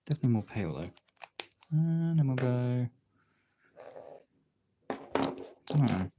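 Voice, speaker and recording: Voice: mid-range voice, animated, a little slow, dark tone, slight background noise. A casual streamer chatting and muttering to themselves while working on art, with some swearing. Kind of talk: casual chat while colouring artwork.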